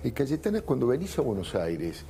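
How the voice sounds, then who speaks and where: Argentine male interviewer with a mellow voice. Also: mid-range voice, wildly swooping pitch, a little fast, slight background noise. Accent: Argentine Spanish. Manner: conversational, probing. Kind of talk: interview questions.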